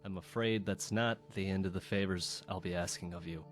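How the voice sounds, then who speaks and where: A man voicing a wounded rebel fighter in a video game, weary, strained and halting, with a wry, loyal temper. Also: sits low, steady tone, faint music behind, lightly acted. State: injured, in pain. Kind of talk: video game character dialogue.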